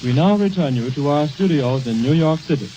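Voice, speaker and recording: A man's voice: a little high, animated, brisk, warm tone. A man announcing formally on 1920s radio. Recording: dull, hissy old radio relay.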